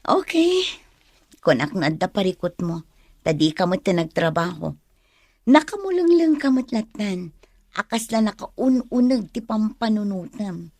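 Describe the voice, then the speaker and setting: Lively, frank actress as Ilocano woman co-worker. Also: mid-range voice, unhurried, dry recording, clean studio sound. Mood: brisk, chiding. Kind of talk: Ilocano radio drama acting.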